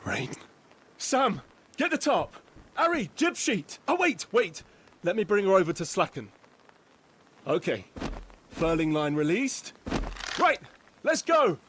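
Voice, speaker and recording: A man's voice: high-pitched, sing-song, takes it slowly, long pauses, a bit bright, a little hiss or hum, exaggerated voice. A man playing a grave but tender, protective father at sea, earnest and instructive in a naturalistic audio drama. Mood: urgent, commanding.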